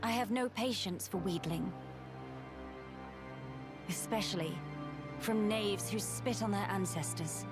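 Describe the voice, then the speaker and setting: A proud, composed young empress from a fantasy game, acted by a woman. She speaks slowly and formally in a mid-range voice, stern and regal, over loud music. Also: mid-range voice, close to an everyday voice. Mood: stern, imperious. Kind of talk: video game voice acting.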